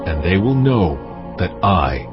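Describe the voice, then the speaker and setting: A deep, slow, commanding God. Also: male voice, very animated, full character voice. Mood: grave, solemn. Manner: solemn, commanding, deliberate. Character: God, stern sovereign lord pronouncing judgment. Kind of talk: dramatized audio Bible acting. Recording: muffled, music underneath. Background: background music.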